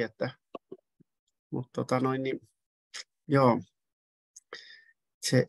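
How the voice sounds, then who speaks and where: A middle-aged man joins the video call with a fairly high voice. His sound is dry and close, and he talks hesitantly, poking fun at himself. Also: gravelly, dry recording. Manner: hesitant, self-deprecating. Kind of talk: casual discussion.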